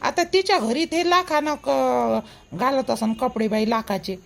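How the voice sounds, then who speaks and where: A woman's voice: high-pitched, a little slow, gravelly. A woman playing a matter-of-fact, placating village woman in a cartoon, giving advice with a Varhadi Marathi accent from Vidarbha. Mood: advising. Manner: advising, dismissive of fuss. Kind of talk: amateur cartoon dialogue in village dialect.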